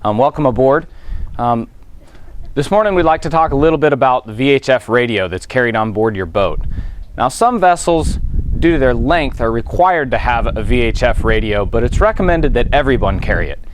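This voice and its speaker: A man's voice: mid-range voice, lively intonation, brisk. Man, official instructor, over noticeable background noise. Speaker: Coast Guard station officer in charge. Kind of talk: instructional explanation to boaters. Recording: clear background noise, ambient sound.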